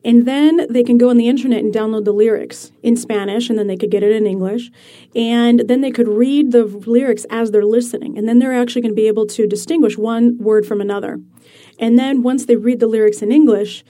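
A woman author being interviewed, explaining her ideas in an animated way and laughing easily. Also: fairly high pitch. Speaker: author guest. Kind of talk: radio interview.

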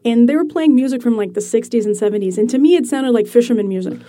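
A woman author being interviewed, explaining her ideas in an animated way and laughing easily. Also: fairly high pitch, fast talker. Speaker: author guest. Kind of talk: radio interview.

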